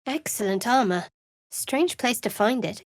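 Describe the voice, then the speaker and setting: A woman playing a guarded young half-elf cleric in a fantasy video game, with a dry, sardonic, measured delivery. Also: on the high side, animated, no echo. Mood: guarded, observant.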